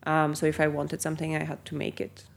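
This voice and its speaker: A young woman from Bulgaria talks through her founder story in a casual, rambling way, with frequent ums and likes. Her voice sits quite low for a woman. A faint noise runs under the recording. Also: monotone, gravelly. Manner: chatty, many ums and likes, quick asides. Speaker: company founder. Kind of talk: casual interview.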